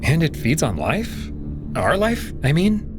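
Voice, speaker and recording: A man's voice: on the high side, huge pitch swings, takes it slowly, music in the background, pitched above the performer's own voice, exaggerated voice. A man voicing a curious, candid young man who asks plain, casual questions.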